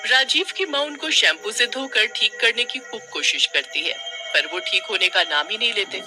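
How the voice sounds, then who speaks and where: A man's voice: high voice, normal speed. A man narrating a scripted cartoon horror story as a storyteller. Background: music.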